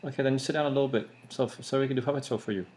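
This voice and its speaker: A man's voice: medium pitch, rapid-fire, darkish tone. A man filming at home, patiently coaxing a child with short, simple phrases. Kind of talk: casual home video chat. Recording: noisy home video recording.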